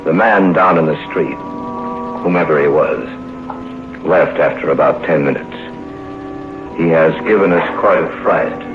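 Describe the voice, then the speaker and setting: Loud music swells behind a tinny vintage radio voice. a haunted narrator of a horror tale, very low, slow and solemn, heavy with ominous dread. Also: male voice. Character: haunted man reading a terrifying old journal.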